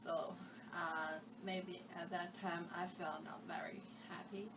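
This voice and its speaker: A woman's voice: on the low side, little pitch movement, slow. A woman from China speaks as an international student in plain, searching phrases. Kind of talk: casual interview answers. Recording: distant mic, noisy background.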